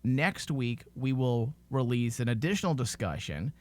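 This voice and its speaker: A man's voice: mid-range voice, moderate pace, dry recording. A man hosting a podcast, talking informally and giving his opinions in a casual discussion. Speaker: podcast host.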